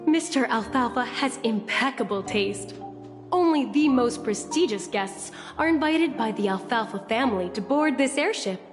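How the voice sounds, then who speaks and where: A woman's voice: fairly high pitch, lively intonation, normal speed, lightly acted. A woman voicing a gracious, formal female airship attendant in a studio game, polite and ceremonious. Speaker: game character. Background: game music, reverb.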